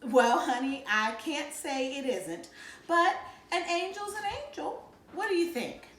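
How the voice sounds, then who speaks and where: A woman's voice: sits high, unhurried, fairly bright tone, reverberant, pitched above the performer's own voice. A woman voicing a patient, reassuring mother talking to her children in a storybook. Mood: soothing.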